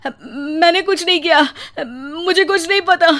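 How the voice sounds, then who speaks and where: A woman's voice: very high-pitched, unhurried, a bit bright, voice pushed up. A woman voicing a frightened, remorseful younger brother in a moral story, pleading emotionally.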